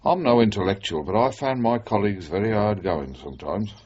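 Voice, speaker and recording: An actor playing a frank, concerned British former policeman in a radio drama, advising persuasively in a husky voice. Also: on the low side, animated, brisk, a little mellow. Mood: earnest, persuasive. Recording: dull, band-limited radio broadcast.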